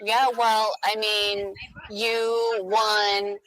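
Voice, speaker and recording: A woman's voice: mid-range voice, flat delivery, drawn-out words, fairly bright tone, rough recording. A woman radio host moderating a panel chat, light, chatty and self-mocking.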